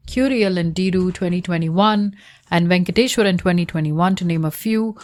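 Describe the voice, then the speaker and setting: A woman whose first language is Tamil, reading her academic thesis aloud in a measured way, citations and page numbers included. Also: on the low side, darkish tone. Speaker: researcher explaining her thesis.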